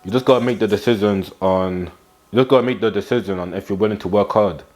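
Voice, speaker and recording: A man's voice: on the low side, fast talker, a little mellow. Blunt teenage man giving a pep talk. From England. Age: teens. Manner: blunt, slangy, repeats lines for emphasis. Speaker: fitness and self-improvement vlogger. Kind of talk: motivational talk to camera. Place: small room at home, indoors.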